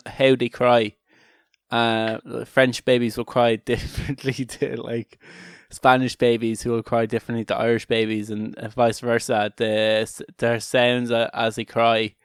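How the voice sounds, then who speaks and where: A man's voice: medium pitch, smooth, dry recording, studio quality. A man co-hosting a casual comedic podcast, talking in a rambling, irreverent way with many restarted sentences. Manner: joking, irreverent. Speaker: podcast co-host.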